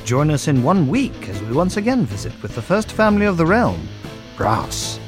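A man's voice: fairly high pitch, huge pitch swings, moderate pace. A man playing a grand announcer of a steampunk adventure serial in a dramatic radio drama style. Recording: music behind the voice.

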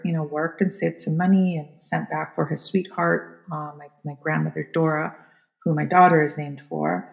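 A woman's voice: low-pitched, creaky. A middle-aged woman from Costa Rica, a guest in a casual interview, talking in a chatty, rambling way with many restarts. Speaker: guest, venture fund founder.

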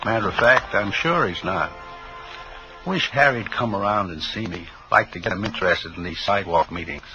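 A man's voice: low-pitched, animated, moderate pace, poor audio. An actor playing a folksy, pious reformed drug peddler in his fifties, rambling and easily distracted, in a 1950s radio drama. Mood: rambling, obliging. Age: 50s. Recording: old radio drama, music playing behind.

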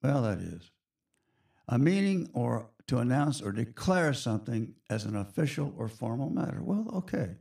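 A hoarse man hosting a spiritual radio show, talking in a slow, reflective, chatty way to his listeners. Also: mid-range voice, very animated, a little mellow. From United States.

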